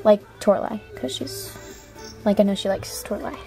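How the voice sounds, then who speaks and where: A woman's voice: mid-range voice, moderate pace, gravelly, a little mellow, faint music behind. A woman chatting casually and apologetically to online viewers as an amateur voice auditioner. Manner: self-deprecating, apologetic.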